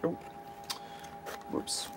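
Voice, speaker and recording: A man casually narrating his hands-on DIY work in a workshop, thinking aloud as he goes. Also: extremely slow. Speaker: maker narrating a DIY build. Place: indoor cluttered home workshop. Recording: noisy workshop camera recording.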